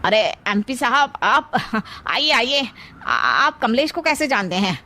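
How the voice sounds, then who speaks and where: A woman's voice: fairly high pitch, big pitch swings, a little slow, pushed and bright, heavily acted. A woman voicing an oily, drawling, falsely chummy male village gangster in a moral story. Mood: nervous, fawning.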